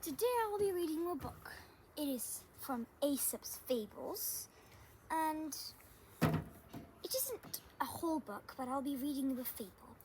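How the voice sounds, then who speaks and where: A female storyteller with a very high voice reads to children. Her pace is slow and measured, and a faint noise sits behind her. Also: expressive. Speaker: storybook reader, channel host. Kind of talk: children's story read-aloud.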